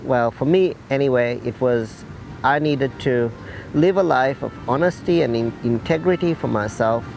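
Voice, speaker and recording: Middle-aged man from sri lanka, gravelly, measured and deliberate, documentary interview, soft music behind. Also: medium pitch, poor audio.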